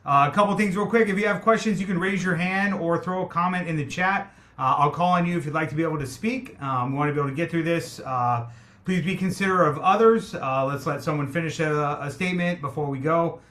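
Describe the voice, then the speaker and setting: A man's voice: a little high, quick pace, fairly bright tone. Friendly man hosting an online town hall. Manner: friendly, filler-heavy, welcoming. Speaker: meeting host. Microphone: video call.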